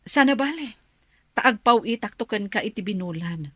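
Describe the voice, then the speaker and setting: An actress playing a worried, loving mother with a husky voice in an Ilocano radio drama. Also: medium pitch, lively intonation, normal speed, no room sound. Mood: pleading. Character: worried, loving mother leaving to work abroad.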